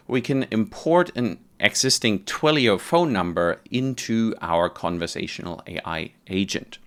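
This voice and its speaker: Male tutorial presenter, lowish and clean-toned, casual step-by-step explainer. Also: a bit bright. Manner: conversational, informal, explains step by step. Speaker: developer advocate presenting a tutorial. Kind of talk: casual software tutorial narration.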